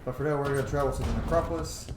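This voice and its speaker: A man's voice: mid-range voice, rapid-fire, noisy background. A man hosting a video game playthrough with casual, conversational commentary. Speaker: gamer, video host. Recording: roomy echo, game music behind.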